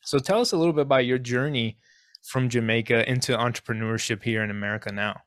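A man's voice: mid-range voice, fast, fairly bright tone, no echo, clean studio sound. A man hosting a podcast interview, speaking earnestly and appreciatively, with frequent restarts. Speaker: podcast host.

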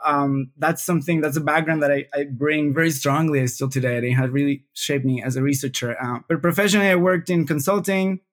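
A man talks as a guest in an interview. His voice sits a little high, and he speaks thoughtfully, often doubling words as he works out a sentence. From Venezuela. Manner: thoughtful, frequent word repeats. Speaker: UX researcher guest. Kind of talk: casual interview talk.

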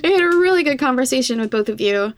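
A woman's voice: sits high, animated, fast talker. A woman speaking casually as the host of a podcast interview.

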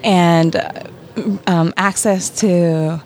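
Low-voiced young woman from Ghana. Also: fairly even intonation, drawn-out words, a little mellow, slight background noise. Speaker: premed student. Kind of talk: vox pop interview.

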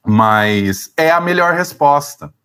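Therapist guy talking it through, lots of "é" and "né", pitch all over the place. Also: animated, takes it slowly, a bit bright, clear, resonant tone. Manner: thoughtful, searching for words, frequent fillers. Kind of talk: conversational explanation.

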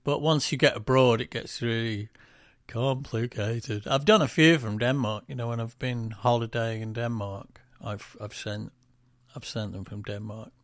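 A man's voice: medium pitch, fairly even intonation, normal speed, fairly bright tone, dry recording. A man co-hosting a casual podcast, rambling with lots of ums and self-deprecating asides. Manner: breaks into quoted voices for jokes. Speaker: musician and podcast co-host.

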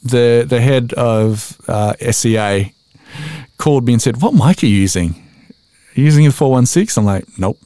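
A man's voice: mid-range voice, lively intonation, normal speed, warm tone, no room sound. An Australian man in his forties chatting casually as a podcast guest, self-deprecating, telling stories and acting out quotes as he goes. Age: 40s. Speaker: voice-over artist being interviewed.

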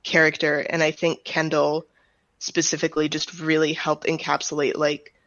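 A woman's voice: sits low, flat delivery, normal speed, fairly bright tone, no room sound. Dry, dull-sounding American woman. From United States. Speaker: audio drama writer and director, interview guest. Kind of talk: casual, explanatory interview talk.

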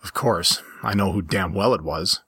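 A man narrates a story in the first person, as an amateur reader would. His voice sits fairly low and has a very husky, breathy grain. The sound is dry and close. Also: moderate pace, pushed and bright, no room sound.

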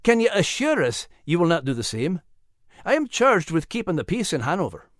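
A steady, fair-minded colonial magistrate who weighs his words carefully, as a man reads him. His tone carries dry amusement and a lively pitch. The sound is close and dry. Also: dry recording. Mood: measured. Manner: measured, dryly amused.

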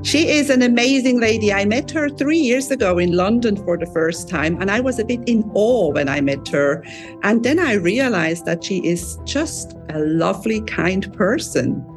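A woman hosts an interview podcast over a laptop call. She is effusive and quick to praise and react to her guest, and her voice sits at a middling pitch. Faint music plays behind her. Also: animated. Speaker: podcast host. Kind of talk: interview host. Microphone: laptop video call.

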